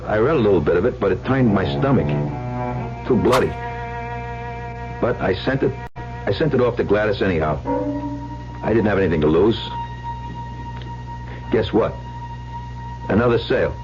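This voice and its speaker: Cynical, animated hard-boiled gambler played by an actor. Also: male voice, on the low side, moderate pace, long pauses, music in the background, close to an everyday voice. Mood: wry, cynical. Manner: wisecracking, slangy, hard-boiled. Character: cynical, money-hungry down-on-his-luck gambler poet, narrating his story. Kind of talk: old-time radio drama narration and dialogue. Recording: muffled old radio recording.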